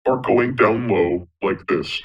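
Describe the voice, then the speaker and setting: Man as a very deep pitched-down voice changer effect. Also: slow, lo-fi sound. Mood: matter-of-fact. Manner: casual, matter-of-fact demonstrating. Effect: pitch-shifted down by voice changer app.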